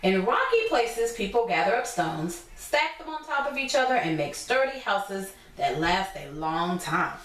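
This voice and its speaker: A woman teacher reading a picture book aloud to young children, pausing to explain things simply in her own words. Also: medium pitch, very animated, fairly bright tone. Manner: instructive, repeats and explains points simply. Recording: echoey room, distant from mic.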